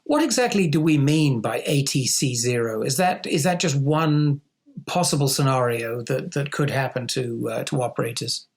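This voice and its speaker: A man's voice: medium pitch, animated, moderate pace, vocal fry. A man hosting an interview, questioning and recapping conversationally, often repeating words as he hesitates. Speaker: interviewer, host.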